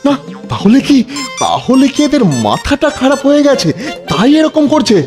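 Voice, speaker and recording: A very high-voiced, bewildered cartoon king, acted by a man, with music playing clearly behind him. He is anxious and flustered, and keeps doubling up his words as he tries to make sense of his new station. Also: huge pitch swings, moderate pace, heavily acted. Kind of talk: cartoon voice acting.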